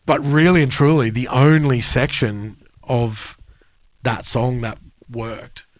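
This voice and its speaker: A man's voice: mid-range voice, fairly even intonation, slow pace, no room sound. A man with an Australian accent talking casually as a podcast host, informal and emphatic, with some swearing. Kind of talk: casual chat.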